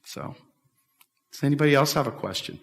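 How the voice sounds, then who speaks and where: A middle-aged man giving an animated, emphatic talk at a policy event, repeating his points and gesturing as he answers questions. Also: mid-range voice, a little fast. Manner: emphatic, repetitive, talks with big hand gestures. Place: large bright hall with windows, standing before a stage table. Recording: echoey hall from far off.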